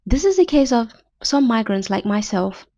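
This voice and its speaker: A woman's voice: fairly high pitch, sing-song, normal speed, warm tone, no room sound, highs cut off. A young Nigerian woman with a Nigerian accent, hosting a podcast and reading a prepared literary essay in formal, academic wording. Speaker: podcast host.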